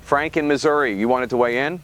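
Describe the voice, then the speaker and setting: A man playing a brisk late-night radio host fielding callers on a talk show. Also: mid-range voice, fairly bright tone, a little hiss or hum, close to an everyday voice. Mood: measured, probing. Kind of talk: call-in radio show acting.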